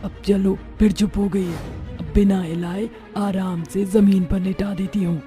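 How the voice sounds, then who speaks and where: A woman plays a witch from a cartoon tale, hungry for humans yet fiercely motherly. Her voice is mellow and sits at an ordinary pitch. She mutters and exclaims to herself with plenty of expression, over faint music. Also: fairly even intonation, measured pace, lightly acted. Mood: exasperated, softening. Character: witch, hungry man-eater turned doting, protective adoptive mother. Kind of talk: Hindi cartoon story voice acting.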